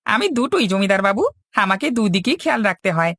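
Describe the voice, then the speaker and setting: A man's voice: high voice, very animated, fast, sharp tone, dry recording, clean studio sound, heavily acted. A man voicing a wily, cheeky manservant who spies for both master and mistress in a cartoon. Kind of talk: cartoon voice acting. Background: music.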